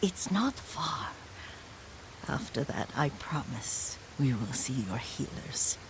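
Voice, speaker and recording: An actress playing a gentle, wistful elderly woman, a lord's devoted caretaker, in a video game, with a soft, breathy, reflective delivery. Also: very deep voice, very animated, background noise, full character voice. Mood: reflective, frail. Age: older. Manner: slow, tender, reflective. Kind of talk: dramatic video game acting.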